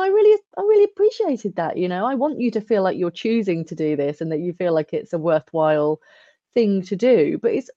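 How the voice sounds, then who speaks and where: A woman's voice: mid-range voice, warm tone, no echo, highs cut off. A woman hosting a podcast interview, speaking in an encouraging and affirming way. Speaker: podcast host.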